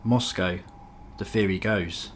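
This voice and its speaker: A man's voice: low-pitched, takes it slowly, a little hiss or hum. A man reading a scripted nonfiction piece as a documentary-style narrator.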